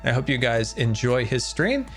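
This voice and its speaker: A man chatting casually on a game live stream, excitable and talkative, thanking his viewers as he plays. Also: medium pitch, moderate pace, soft background music.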